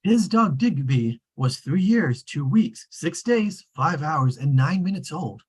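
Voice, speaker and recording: A performer reading an omniscient bedtime-story narrator in a measured, deliberate way. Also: mid-range voice, expressive, husky. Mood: measured, precise.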